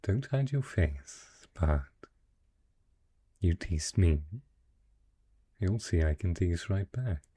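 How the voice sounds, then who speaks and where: A man playing a wealthy dragon in human guise, a possessive, teasing merchant patron who coaxes the listener slowly and courteously in an intimate fantasy romance roleplay. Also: deep voice, animated, dark tone, husky, full character voice. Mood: teasing, flirtatious. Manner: slow, teasing, courtly, coaxing.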